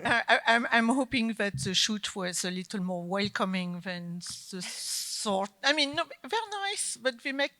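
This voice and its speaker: A woman moderating a film panel, asking questions in a halting way with many fillers. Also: mid-range voice, lively intonation, sharp tone. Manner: halting, searching for words.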